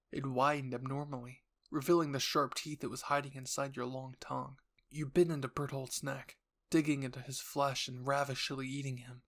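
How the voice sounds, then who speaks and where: A man's voice: medium pitch, a bit bright. A man reading dramatic scripted narration for a roleplay story, addressed to the listener in the second person.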